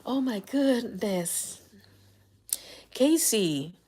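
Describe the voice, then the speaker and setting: An older woman with a Jamaican accent giving motivational talk on a livestream, telling stories animatedly and dropping to whispers. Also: drawn-out words. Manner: animated storytelling, rises high when excited, drops to whispers. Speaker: life coach and livestream host.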